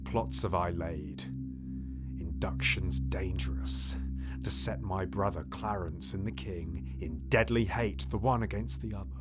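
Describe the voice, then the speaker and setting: Low, scheming Shakespearean villain. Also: male voice. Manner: intimate, theatrical, often near-whispered soliloquy. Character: scheming, bitter duke, villainous brother of the king. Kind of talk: classical Shakespearean audio theatre.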